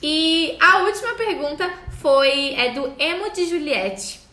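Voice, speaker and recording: A woman's voice: sits high, slow. A woman, hosting a casual podcast chat with friends and reading out listener questions. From Florianópolis, Brazil. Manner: lively, reads listener questions. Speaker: podcast host. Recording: echoey room.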